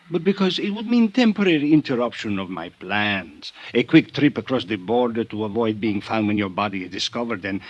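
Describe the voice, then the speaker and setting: An actor in a 1950s radio drama playing a genteel, scheming foreign crime boss with a florid, wheedling, falsely affectionate manner. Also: male voice, medium pitch, no echo. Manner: florid, wheedling, formal speech with drawn-out endearments.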